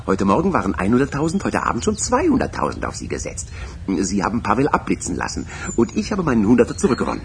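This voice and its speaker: An actor playing a chatty, excitable gambler, talkative and emphatic, in a radio crime drama. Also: male voice, on the low side, fast, creaky, a little hiss or hum, full character voice. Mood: cheerful, boastful. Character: excitable, chatty betting man, easily rattled.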